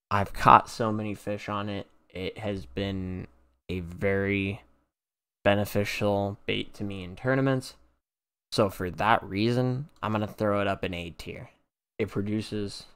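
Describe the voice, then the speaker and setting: A man's voice: lowish voice, clean tone. A young man in his twenties hosting a fishing channel, talking casually and eagerly from his own experience, recorded in a small bedroom at his desk. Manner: enthusiastic, conversational, draws on personal experience. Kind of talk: casual tier-list commentary over screen recording. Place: small bedroom with purple lighting, indoors.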